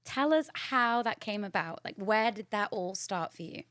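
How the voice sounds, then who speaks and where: Woman podcast host, mid-pitched, effusive and chatty, dry close mic. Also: expressive, normal speed, a bit bright, no room sound. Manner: bubbly and effusive, laughs often. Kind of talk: scripted intro, then casual interview chat.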